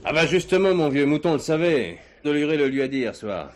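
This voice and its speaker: An actor playing a wry, unflappable police commissioner in a French radio crime drama, dry, familiar and commanding. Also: male voice, fairly high pitch, big pitch swings, a little fast, a crowd in the background. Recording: clearly noisy background.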